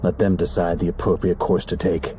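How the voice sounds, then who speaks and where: A man voicing a calm, low-voiced investigator. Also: slight background noise, close to an everyday voice. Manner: measured, matter-of-fact. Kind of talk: game cutscene voice acting.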